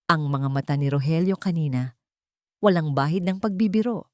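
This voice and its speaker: A woman storyteller narrating a scripted romantic drama in an expressive, measured way. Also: deep voice, no room sound, clean studio sound.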